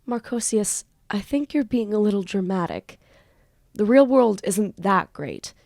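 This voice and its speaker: A woman's voice: mid-range voice, lively intonation, a little slow, vocal fry, darkish tone, no room sound, studio quality. A woman acting an anxious, defensive young student magician in an amateur audio drama, earnest and self-pitying. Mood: cynical.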